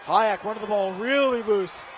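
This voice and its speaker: Hoarse young man calling football. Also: high-pitched, moderate pace. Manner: excitable, shouts on big plays. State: hoarse, strained voice. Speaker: play-by-play commentator. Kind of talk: live sports commentary. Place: outdoors at a night football game. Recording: loud outdoor stadium crowd noise.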